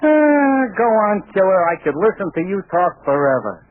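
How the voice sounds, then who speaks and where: High-pitched, chatty gangster henchman. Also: big pitch swings, normal speed, no echo. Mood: delighted, admiring. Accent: American. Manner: tough-guy slang, ungrammatical, garrulous. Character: slangy, chatty gangster henchman charmed by fancy talk. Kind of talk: 1940s radio comedy acting. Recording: muffled 1940s radio recording.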